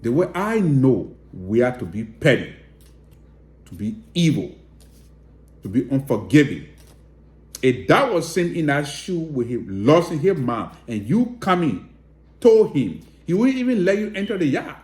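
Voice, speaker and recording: A man's voice: on the high side, big pitch swings, unhurried, darkish tone, reverberant. A man with a Liberian accent giving direct, emphatic political commentary and appealing to viewers. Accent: Liberian English.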